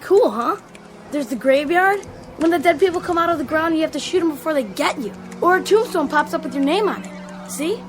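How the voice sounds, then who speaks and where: A woman's voice: high-pitched, animated, brisk, soft background music. A woman playing a casual, easygoing kid absorbed in an arcade game in a radio drama, offhand and youthful. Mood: chatty, keen.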